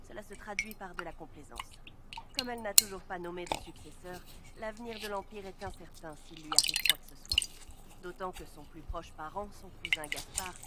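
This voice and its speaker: A woman's voice: on the low side, close to an everyday voice. An actress playing a formal, diplomatic female advisor in scripted fantasy video game dialogue. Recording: very noisy game audio capture.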